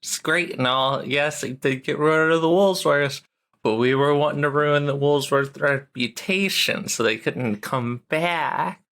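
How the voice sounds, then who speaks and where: Brit woman on a podcast, slow talker, creaky, deadpan casual chat. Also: low voice. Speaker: podcast co-host and player.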